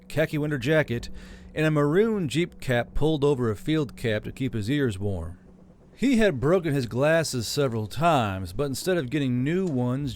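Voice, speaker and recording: A man reading an audiobook chapter in a steady storytelling voice. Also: medium pitch. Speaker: audiobook narrator. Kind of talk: audiobook narration.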